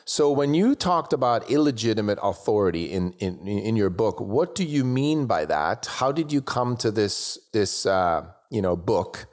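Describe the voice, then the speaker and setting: Low-pitched man hosting an interview. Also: very animated, a little slow, hardly any pauses, a little mellow. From Switzerland. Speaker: interviewer, show host. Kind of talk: conversational interview.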